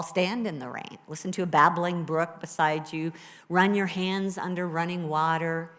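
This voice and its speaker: A woman minister with a low voice, preaching a sermon and leading a guided meditation in a conversational, encouraging way to a live congregation. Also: a bit bright. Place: church sanctuary with a live congregation. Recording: live church recording with long reverb.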